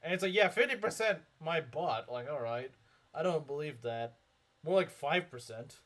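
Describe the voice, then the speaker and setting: Lively, rambling young man streamer. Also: a little high, moderate pace. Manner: rambling, heavy filler words, frequent chuckles. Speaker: game streamer. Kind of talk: casual live-stream commentary reading chat.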